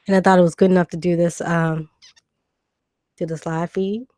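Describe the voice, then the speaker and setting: A woman, hoarse from a cold, hosting a live stream and answering viewer comments in a relaxed chat with lots of ums. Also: on the low side, normal speed, no room sound, clean studio sound. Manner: conversational, reads comments aloud and answers them, frequent ums.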